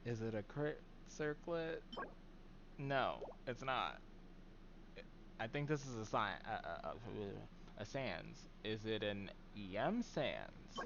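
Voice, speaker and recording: A soft-spoken man with a low voice talks through a live game stream in an easygoing way. His voice is quiet and doesn't carry far, and his words often trail off between fillers. Clear background noise sits under his voice. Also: lively intonation, slow pace, muffled recording. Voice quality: very soft voice that does not carry.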